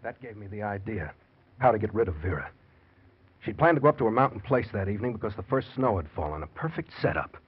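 An actor playing a scheming, nervy American husband in a classic radio crime drama, with an intense theatrical delivery. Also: male voice, lowish voice, sing-song, fast, husky, heavily acted. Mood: cold, calculating. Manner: intense, theatrical confessional narration. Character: scheming, nervy murderous husband confessing his plot. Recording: muffled, noisy old radio recording.

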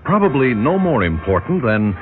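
A man acting an ironic, portentous narrator in an old radio drama, speaking in a measured way. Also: medium pitch, very animated, natural voice. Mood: ominous irony. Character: omniscient male narrator, ominous and ironic. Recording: tinny old radio, loud music behind.

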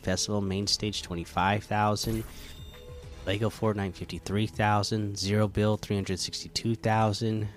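A man hosting a casual gaming podcast, chatty and relaxed with lots of fillers, slightly hoarse from a cold. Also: fairly low pitch. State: catching a cold, losing voice. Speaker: podcast host.

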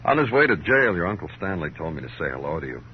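A dry, terse detective in 1950s radio crime drama. The voice is very low and the phrases are clipped and deadpan. The old recording is muffled, with faint hum. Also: male voice, fast talker. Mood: dry, patient. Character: terse, dry male police detective, steady and unhurried.

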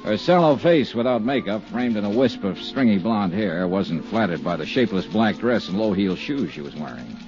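A man's voice: fairly low pitch, lively intonation, fairly quick, few pauses, a little mellow, faint music behind. An actor playing a wry, hard-boiled male insurance investigator who narrates and speaks in dry, easygoing tones, in 1950s radio drama style. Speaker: narrator and lead. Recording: muffled 1950s radio broadcast.

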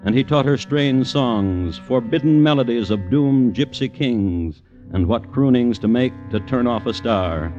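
A man narrating a 1950s radio crime drama as its host, in a measured, literary storytelling manner. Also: medium pitch, animated, warm tone. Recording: muffled old radio recording with music behind.

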